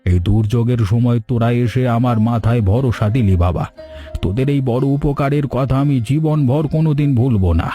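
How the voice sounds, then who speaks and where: A man portrays an older village father in a cartoon tale, anxious and tender. His voice is mellow and medium in pitch, earnest in delivery. Faint instrumental music plays behind. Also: normal speed, lightly acted. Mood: grateful. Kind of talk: Bengali cartoon story dialogue.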